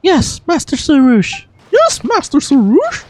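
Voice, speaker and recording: A performer voicing a sweet, anxious young game character in an earnest, gentle way. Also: high-pitched, wildly swooping pitch, unhurried, voice pushed up, heavily acted. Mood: worried, relieved.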